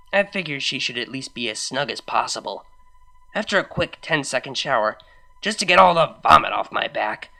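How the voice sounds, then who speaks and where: A brash young unicorn mare, played by a reader, tells her own story with a very bright, forceful edge. She is wry and confiding, chatting to the listener like a friend in a storybook reading, expressive and openly fond of her partner. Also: mid-range voice. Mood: tender, wry. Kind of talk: first-person fan fiction reading, conversational.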